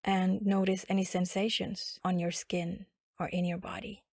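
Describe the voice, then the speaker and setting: A woman guiding a body scan meditation in a calm, instructional manner with long pauses. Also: lowish voice, vocal fry, no room sound, clean studio sound. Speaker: meditation guide.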